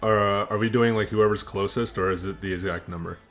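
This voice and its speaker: A man's voice: on the low side, steady tone, fairly quick, fairly bright tone, faint music behind. A man hosting a podcast in casual, bantering group chat. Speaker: podcast host.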